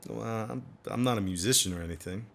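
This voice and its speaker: A man's voice: fairly low pitch, fast, gravelly, a bit bright, a little hiss or hum. An actor playing an easygoing, eager man with a casual, folksy manner in a radio drama. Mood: amiable, eager. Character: Jimmy, easygoing, eager man visiting a friend. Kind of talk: naturalistic radio drama dialogue.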